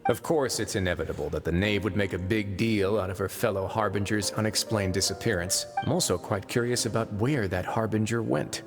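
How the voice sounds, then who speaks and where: A man playing a composed, wry fortress warden in a video game, formal and measured. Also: fairly low pitch, big-room sound, faint music behind, natural voice.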